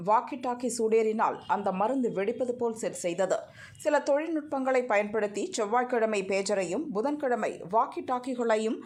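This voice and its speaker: A woman narrating a scripted news report in an even, formal read. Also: on the high side.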